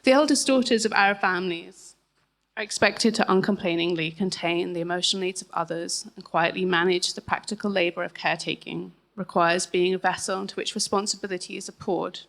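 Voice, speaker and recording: Woman in her 30s reading her own essay, lowish voice with a bit of vocal fry, steady with little offhand asides. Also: low-quality recording. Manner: measured reading with occasional chatty asides and fillers. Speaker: author.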